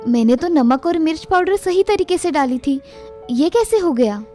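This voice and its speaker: A woman playing a meek, dutiful young Indian daughter-in-law, polite and deferential, in an animated family story. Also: high voice, moderate pace, faint music behind. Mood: apologetic. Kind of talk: animated Hindi moral story dialogue.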